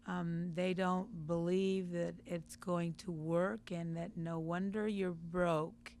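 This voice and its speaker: Husky slow-talking woman caller. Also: little pitch movement, a little mellow, slight background noise. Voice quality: husky, low-sounding voice. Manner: hesitant, many ums. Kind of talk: call-in question.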